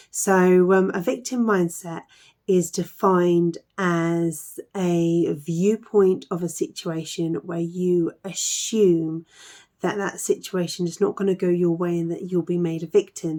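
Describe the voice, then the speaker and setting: Hoarse croaky woman w a cold, kinda low voice, earnest coach talk w lots of ums and likes. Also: a little mellow. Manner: earnest, frequent "um" and "like" fillers. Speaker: mindset coach. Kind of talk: casual talk to camera.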